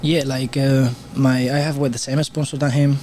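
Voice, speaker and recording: A man from Spain with a Spanish accent, a pool player commenting on a match as a guest in halting English. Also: medium pitch, little pitch movement, dark tone, slight background noise. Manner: halting non-native English with restarts. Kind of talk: casual live commentary.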